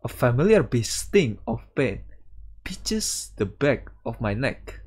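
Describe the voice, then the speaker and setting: A man reading a visual novel aloud as a streamer, with casual commentary and halting delivery. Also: medium pitch, big pitch swings, darkish tone, husky. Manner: halting delivery, sprinkling in Japanese words. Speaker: VTuber streamer.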